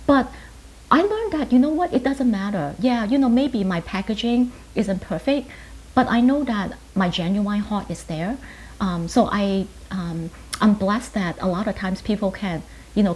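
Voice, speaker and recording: A woman in her fifties from Hong Kong, a native Chinese speaker, reflecting on her life in an earnest, halting way in short phrases. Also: mid-range voice, a little mellow, rough recording. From Hong Kong, later New York. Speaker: pharmacist and writer being interviewed. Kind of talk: reflective personal interview answers. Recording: hissy background noise.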